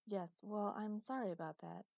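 Awkward apologetic doctor read by a woman, somewhat raised pitch, dry close sound. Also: normal speed, a little mellow, dry recording, lightly acted. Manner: apologetic, hesitant. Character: awkward distracted female doctor.

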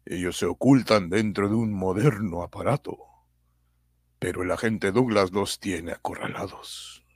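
A man narrating a radio adventure serial in a measured storytelling manner. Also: sits low, breathy edge, no echo, clean studio sound. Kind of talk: radio drama reading narration.

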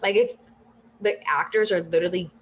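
A young woman hosting a casual podcast chat, animated and chatty, swearing now and then and acting out quotes. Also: on the low side, brisk, slight background noise, rough recording. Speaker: podcast host.

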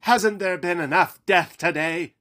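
A man voicing an earnest male leader who proclaims and pleads forcefully in a storybook reading. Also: high voice, measured pace, voice pushed up. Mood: pleading.

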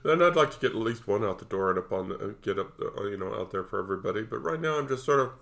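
A man's voice: lowish voice, lively intonation, very fast, gravelly, fairly bright tone. A man in his sixties co-hosting a casual podcast, rambling and joking with lots of fillers. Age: 60s. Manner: rambling, jokey, full of fillers. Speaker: podcast co-host. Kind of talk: casual chat.

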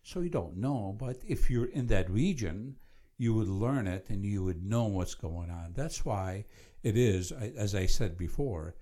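A man's voice: lowish voice, expressive, unhurried, mellow. A man from Iraq with an Iraqi accent, discussing a book conversationally and explaining things with some repetition.

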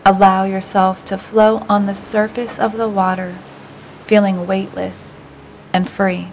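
A woman narrating a guided meditation in a slow, gentle, unhurried way, with softly breathed phrase endings. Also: medium pitch, flat delivery, a little hiss or hum, poor audio.

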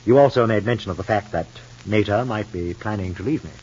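Husky, guarded radio-soap husband. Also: male voice, fairly low pitch, darkish tone. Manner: measured, formal, deliberate. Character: guarded, bitter husband, coolly suspicious of his wife. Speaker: radio soap opera actor. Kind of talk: old-time radio soap opera acting. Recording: muffled, lo-fi old radio recording.

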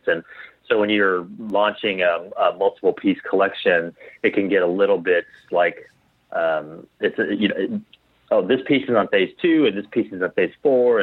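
A man's voice: low-pitched, dry recording, narrow, tinny audio. A man giving casual interview answers as a company founder, thinking aloud and often repeating his words as he goes. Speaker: guest, clothing company founder.

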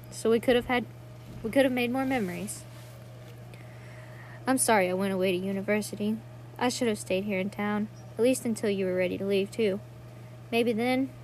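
A woman's voice: mid-range voice, slight background noise, lightly acted, low-quality recording. A woman playing an earnest, pleading young sister in a dramatic monologue reading.

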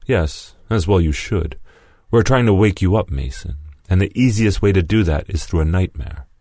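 A man voicing Lewis, an angry, vengeful former comrade who shouts accusations and whose voice cracks. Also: deep voice, animated, normal speed, no echo, studio quality. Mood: cold accusing.

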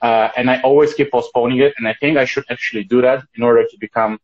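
Mid-pitched man, native Russian speaker. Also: steady tone, fast. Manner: fluent, thoughtful, some restarts. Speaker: guest. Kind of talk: casual interview. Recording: dull lo-fi sound.

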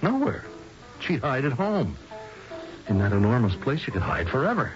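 Casting: sharp, musing male detective, very animated pitch, vintage radio drama, dull recording over music. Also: moderate pace. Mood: musing, exasperated. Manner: musing, repeats words, self-correcting. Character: sharp, excitable male detective reasoning out a case aloud. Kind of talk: old-time radio drama acting.

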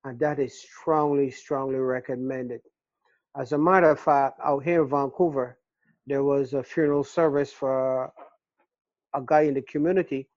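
A man's voice: mid-range voice, fairly even intonation, moderate pace, a little mellow, no echo. Older barbadian guy being interviewed, earnest, keeps repeating words, dull dry recording. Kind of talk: casual interview.

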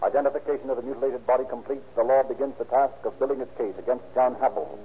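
A man narrating a 1930s radio crime drama in a formal, declamatory manner with deliberate phrasing. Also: mid-range voice, a little hiss or hum, close to an everyday voice. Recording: narrow old radio recording, loud music behind.

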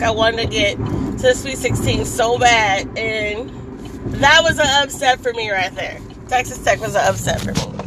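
A woman with a hoarse, raspy voice, chatting casually as she drives to work and often laughing and clearing her throat. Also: fairly high pitch, background noise. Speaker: vlogger. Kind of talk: casual chat while driving. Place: in a car on a commute.

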